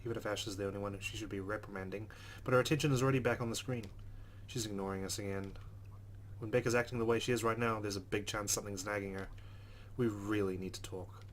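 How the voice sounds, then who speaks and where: Low-voiced man over noticeable hum. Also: steady tone, quick pace. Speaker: let's play host. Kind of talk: reading game narration aloud with casual commentary.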